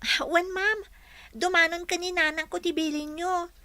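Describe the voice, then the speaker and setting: A woman's voice: very high voice, steady tone, normal speed, sharp tone, no echo. A woman playing a poor, dutiful young schoolgirl in a radio drama, earnest and polite. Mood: eager, respectful. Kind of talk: Filipino radio drama acting.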